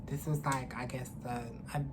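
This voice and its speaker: A woman's voice: a bass voice, flat delivery, normal speed. A young adult woman telling a story casually to camera in a candid, slangy, profane way, recorded indoors at arm's length. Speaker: vlogger. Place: indoors, small room. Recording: clearly noisy room ambience.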